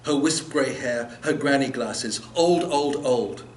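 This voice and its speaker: An older man reads his poetry at a live event with an expressive, dramatic literary delivery. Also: medium pitch, slow pace. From South Africa and England. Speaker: poet. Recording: noisy live event room recording.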